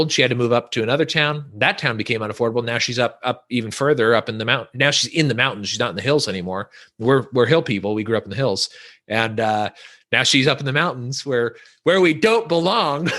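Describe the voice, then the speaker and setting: A man from Northern California chats on a podcast with a bright, forward tone. He rambles and restarts his sentences, leaning on filler words as he goes. His pitch sits in an ordinary middle range. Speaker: podcast guest. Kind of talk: casual podcast conversation.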